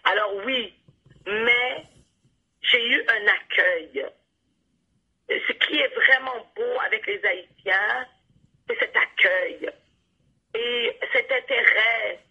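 A woman with a Canadian French accent giving reflective interview answers, earnest and halting, repeating words for emphasis. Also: on the high side, pushed and bright, breathy edge, muffled recording. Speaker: interview guest, playwright and storyteller.

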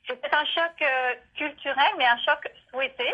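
A woman in her forties from France, a guest on a phone interview, pausing often with fillers. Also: high voice. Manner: hesitant, many fillers. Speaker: guest, cookbook author. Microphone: telephone. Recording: dull phone line.

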